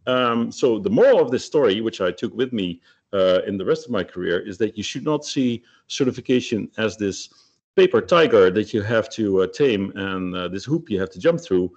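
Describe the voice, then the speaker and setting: A man's voice: lowish voice, animated, moderate pace, darkish tone, slightly rough. A middle-aged man from the Netherlands, giving a technical webinar talk with many hesitations and fillers. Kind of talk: technical presentation. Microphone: video call. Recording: muffled lo-fi video-call audio.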